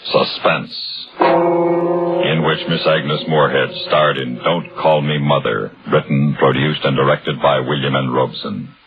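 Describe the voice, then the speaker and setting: A man announcing a radio drama in a formal, measured, portentous 1950s scripted style. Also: rumbling low, big pitch swings. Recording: dull old radio broadcast recording. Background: music.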